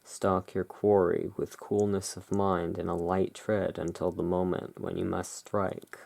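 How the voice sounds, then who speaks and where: ASMR reviewer, very unhurried and musing, with a crackly vocal fry. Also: low-pitched, level tone, a little mellow, no room sound. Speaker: tarot reviewer in a roleplay as emporium owner. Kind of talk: relaxed, unscripted show-and-tell commentary.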